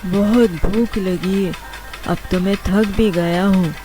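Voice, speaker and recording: Woman voicing a slow-talking cartoon boy thief. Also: medium pitch, fairly even intonation, close to an everyday voice. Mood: eager. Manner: slow, exclamatory, talking to himself. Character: sly, whining young boy who steals a magic flute. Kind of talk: Hindi children's cartoon dialogue. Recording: music under the voice.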